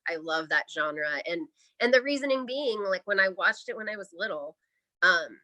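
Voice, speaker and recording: Woman from middle America, bright voice, animated pitch, chatty interview guest, candid, casual phrasing. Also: normal speed, rough recording. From American Midwest. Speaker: interview guest, podcaster and writer.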